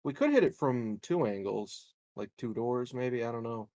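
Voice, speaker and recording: A man's voice: mid-range voice, expressive, moderate pace, a little mellow, no room sound. A man speaking casually as a player and group leader in a roleplaying game. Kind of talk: casual tabletop game chat.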